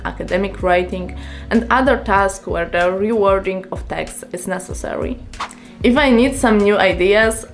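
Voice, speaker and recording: A woman whose first language is Polish, calmly explaining language-learning tips in English in a scripted vlog. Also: mid-range voice. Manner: calm, earnest explaining with numbered tips.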